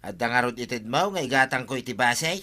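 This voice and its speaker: An actor playing a reluctant village husband with a grumbling, questioning manner in a radio comedy drama. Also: male voice, mid-range voice, lively intonation, fast, sharp tone.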